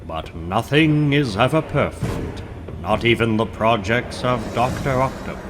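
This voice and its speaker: A man's voice: medium pitch, a little slow, noisy background. A man giving a dramatic, portentous narration of a comic book story. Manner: theatrical, portentous. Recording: loud music under the voice. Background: music.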